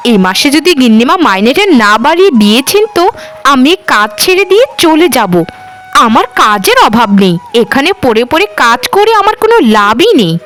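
A woman's voice: sits high, sing-song, fairly quick, a bit bright. A woman voicing a stubborn but caring young housemaid in a cartoon, with an argumentative manner. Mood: fed up. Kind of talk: cartoon voice acting.

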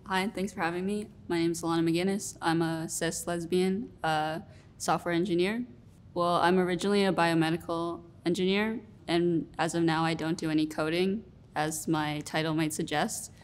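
A young woman in her twenties, answering interview questions in a relaxed, reflective way with many fillers. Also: on the low side, moderate pace. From Rhode Island, US. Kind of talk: sit-down interview. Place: studio room with plants.

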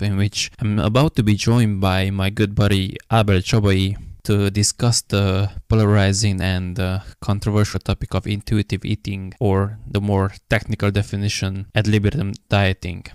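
A man hosting a podcast interview, speaking in a measured way with frequent fillers. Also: lowish voice, a little mellow, clear, resonant tone, dry recording, clean studio sound. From Hungary. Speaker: podcast host.

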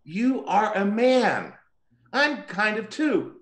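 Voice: mid-range voice, big pitch swings, takes it slowly, fairly bright tone. An actor voicing a killer doll who wheedles and pleads to make amends in a dramatic scene over a video call. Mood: pleading, apologetic. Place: indoor room, remote video call. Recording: roomy echoing video-call audio.

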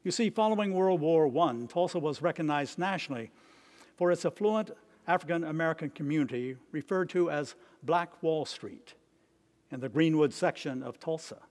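A man in his seventies preaching a sermon from notes as a priest, in measured, deliberate phrases with pauses. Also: a little high, a little mellow. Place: stone church, at a lectern.

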